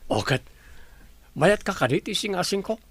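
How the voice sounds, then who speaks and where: A man's voice: sits high, very animated, normal speed, fairly bright tone, no echo, exaggerated voice. An actor playing a lovesick, scheming young man with a Filipino accent in a radio drama. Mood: pressing. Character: lovesick, scheming young male suitor seeking a business partnership.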